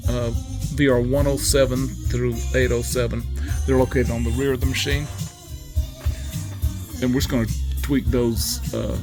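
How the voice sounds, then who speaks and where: A man giving a casual hands-on technical tutorial in a methodical, matter-of-fact way, pausing as he goes. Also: medium pitch, flat delivery, over music. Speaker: presenter demonstrating equipment calibration.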